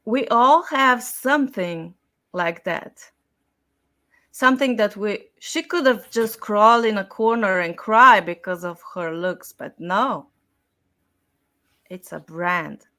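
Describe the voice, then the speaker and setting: A woman speaking as an expert guest in an interview, explaining her points deliberately in short, emphatic phrases. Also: medium pitch, sharp tone. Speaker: style and communication expert guest.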